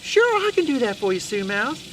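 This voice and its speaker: A man's voice: extremely high pitch, sing-song, normal speed, slight background noise, pitched above the performer's own voice. A man voicing an earnest, helpful, slightly anxious male mouse in a children's story. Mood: eager, helpful. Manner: eager, polite, hesitant.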